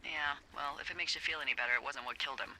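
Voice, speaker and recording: A woman's voice: low-pitched, very fast, sharp tone, heavily acted, rough recording. A woman playing a wry, teasing fire lookout supervisor in naturalistic video game dialogue, playful and sarcastic. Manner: playful, sarcastic, hesitant when earnest.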